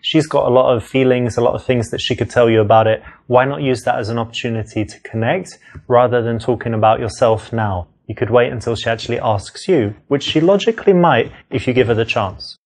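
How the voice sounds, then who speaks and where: A man's voice: medium pitch, fairly quick. An English man with a London accent, acting out a brash, cocky dating game scene and then coaching the player in short asides. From England. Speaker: actor in a dating sim, also explaining the lesson. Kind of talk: scripted acted dialogue, with coaching asides.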